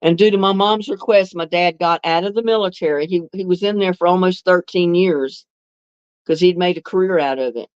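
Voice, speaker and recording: A woman's voice: on the low side, a little fast, darkish tone, clear, resonant tone, no echo. Chatty woman on a livestream. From North Carolina. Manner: chatty, homey, laughs often, reads comments aloud. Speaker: livestream host. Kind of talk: casual live chat reading viewer comments. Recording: dull, lo-fi dry sound.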